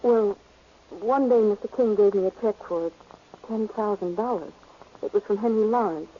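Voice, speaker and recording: A woman's voice: mid-range voice, normal speed, lightly acted. An actress playing a polite, earnest, slightly hesitant young cashier giving her account in a 1940s radio drama. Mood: polite, uneasy. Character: earnest, polite female beauty parlor cashier and witness. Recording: dull, noisy old radio recording.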